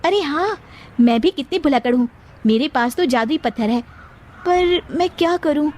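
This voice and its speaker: Young daughter-in-law acted by a woman, high pleading voice, worried but resolute, moral tale. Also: animated, normal speed, a little mellow. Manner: pleading, exclaiming. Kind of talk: moral story character voice.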